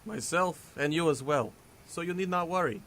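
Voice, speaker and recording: An actor playing a calm, formal strongman superhero with measured speech in an audio drama. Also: a little high.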